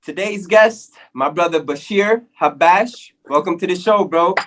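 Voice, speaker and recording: A man's voice: high-pitched, measured pace, fairly bright tone. A man from the United States hosting a podcast, chatting casually with plenty of slang. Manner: laid-back slang, calls everyone bro. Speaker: podcast host. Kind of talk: casual chat.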